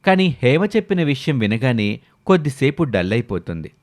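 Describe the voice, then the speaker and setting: A man's voice: mid-range voice, big pitch swings, moderate pace. A man narrating a scripted story as the storyteller.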